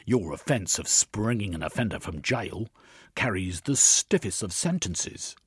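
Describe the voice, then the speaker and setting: A man voicing a bullying male police inspector who sneers, mocks and shouts, in a read-aloud story. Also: lowish voice, lively intonation, unhurried, husky, no room sound, studio quality, full character voice. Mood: threatening.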